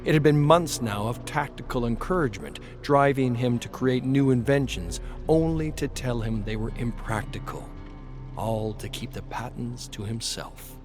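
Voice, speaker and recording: A man's voice: mid-range voice, moderate pace. A man narrating a scripted game story in a dramatic storytelling style. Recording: music under the voice.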